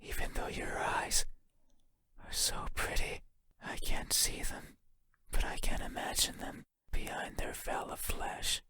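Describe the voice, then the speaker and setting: Man as an eerie whispering shadow creature. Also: natural voice. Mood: eerie, fascinated. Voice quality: breathy, unvoiced whisper. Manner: slow, halting, questioning, softly insistent. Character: eerie wandering shadow creature, lonely, curious, longing to be seen. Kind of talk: intimate whispered horror game monologue.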